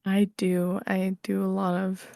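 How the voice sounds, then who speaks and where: A woman's voice: medium pitch, completely flat delivery, measured pace, vocal fry, dark tone, dry recording. A young adult woman chatting casually as an interview guest, giggly and informal, often hedging what she says. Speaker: podcast guest, audio roleplay creator.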